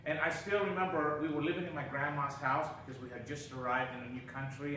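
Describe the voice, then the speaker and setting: A man from Chile giving an animated, laughing impromptu speech at a club meeting, often asking the room rhetorical questions. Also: medium pitch. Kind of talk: storytelling speech. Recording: echoey room, far from the mic, clear background noise.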